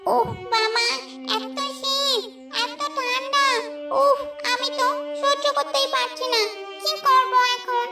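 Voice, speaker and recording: A storyteller puts on a fussy, dramatic mother bird for a cartoon fable. The voice is extremely high and shrill, with a whiny, drawn-out way of complaining. It sounds echoey and distant, over music. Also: flat delivery, gravelly, heavily acted. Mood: shivering, wailing. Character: harsh, suspicious mother bird, later wailing and pleading.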